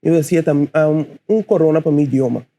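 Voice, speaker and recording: A man's voice: fairly high pitch, a little fast, warm tone. Young man, hesitant poet guest. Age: 20s. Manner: hesitant, searching for words. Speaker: spoken word poet guest. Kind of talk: casual interview answers.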